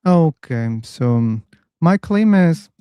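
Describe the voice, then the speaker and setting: A man hosting a casual live online discussion room, chatty and quick to laugh. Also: fairly high pitch, clear, resonant tone, no room sound, dull audio. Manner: relaxed, chatty, laughs easily. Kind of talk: casual live-stream chat.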